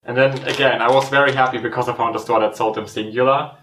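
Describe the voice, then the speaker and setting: A man's voice: medium pitch, quick pace, distant mic, a little hiss or hum. A young adult man with a German accent, chatting casually while tasting snacks and giving frank ratings. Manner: relaxed, reflective, gives frank ratings. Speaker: host. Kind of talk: casual food tasting chat. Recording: echoey room, voice a bit off-mic.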